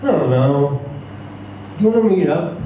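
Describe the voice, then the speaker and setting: A man voicing a drunken, wistful small-time crook with a low drawl in a story reading. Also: animated, measured pace, phone-quality sound. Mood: evasive. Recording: roomy, echoing, distant mic, faint noise.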